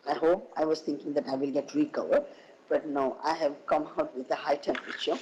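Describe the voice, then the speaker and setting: A woman with a husky voice, hoarse from a cold, giving a halting academic keynote over an online call. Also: low-pitched, steady tone, highs cut off, noisy background. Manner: halting, with many fillers and restarts. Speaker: keynote speaker.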